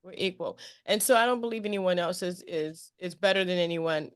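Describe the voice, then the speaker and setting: A woman hosting a casual interview, expressive and emphatic, often repeating phrases to drive a point home. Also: lowish voice, brisk, smooth, no room sound, studio quality. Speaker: interview show host. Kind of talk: casual chat.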